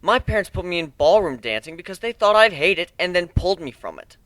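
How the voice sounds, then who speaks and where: A man's voice: sits high, very animated, fast talker, fairly bright tone, no room sound, voice pushed up, rough recording. A man reading a dramatic first-person story as a sarcastic, bitter bullied teenage nerd, with emphatic delivery. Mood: bitter, sardonic. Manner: sardonic, emphatic.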